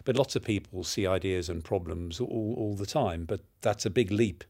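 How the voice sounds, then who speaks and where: A man's voice: sits low, normal speed, creaky, darkish tone. A man hosting an interview, asking probing questions with frequent restarts. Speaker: interviewer, institute director. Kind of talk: conversational interview.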